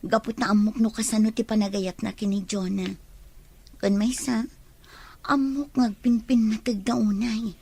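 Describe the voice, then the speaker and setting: Girl acting young filipina secretly in love, high voice, kinda evasive, radio drama style. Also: steady tone, unhurried, gravelly, dark tone, slightly rough, heavily acted. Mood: wistful, pleading. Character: lovelorn young woman, secretly yearning, evasive. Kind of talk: Ilocano audio drama acting.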